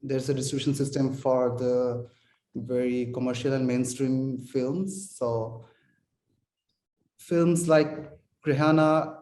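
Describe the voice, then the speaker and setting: Slow, mellow man in a roomy hall. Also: mid-range voice, steady tone. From Bangladesh. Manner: halting, repeats words while searching for phrasing. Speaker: film director. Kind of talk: post-screening Q&A answers. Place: cinema hall.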